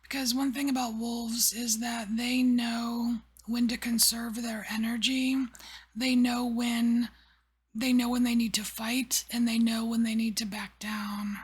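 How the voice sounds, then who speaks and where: A woman giving a whispered tarot reading, rambling softly with many fillers. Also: fairly high pitch, flat delivery, measured pace. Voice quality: breathy. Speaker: tarot reader.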